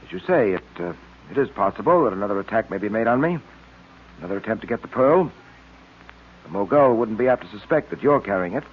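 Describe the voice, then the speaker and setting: Shrewd, firm male detective, husky and clipped, 1940s radio style, narrow-band sound. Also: lowish voice, expressive, quick pace. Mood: measured. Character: brisk, authoritative, shrewd male police detective. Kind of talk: 1940s radio drama acting. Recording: tinny, band-limited old radio recording.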